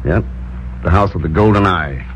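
An actor playing a calm, wry secret agent with a dry, understated delivery, in a 1950s radio drama. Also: male voice, low-pitched, moderate pace, slight background noise, lightly acted. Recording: dull lo-fi old radio recording.

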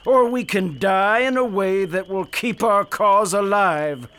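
An actor playing a fanatical, ruthless Confederate colonel, delivering grandiose oratory in a radio drama. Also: male voice, high voice, fairly bright tone. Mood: fervent, rousing. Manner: grandiose oratory, slow and fervent. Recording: music under the voice.

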